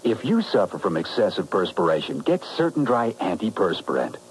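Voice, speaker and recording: Low, animated ad announcer. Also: male voice, a little fast, slight background noise, poor audio. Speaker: advertisement announcer. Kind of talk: scripted radio product ad.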